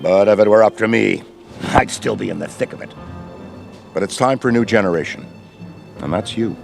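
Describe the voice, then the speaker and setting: An actor playing Ray, an enthusiastic middle-aged veteran ghostbuster who rambles in wordy, professorial speech. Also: male voice, lowish voice, fairly quick, gravelly, music in the background. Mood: lively. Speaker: veteran mentor. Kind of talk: video game cutscene voice acting.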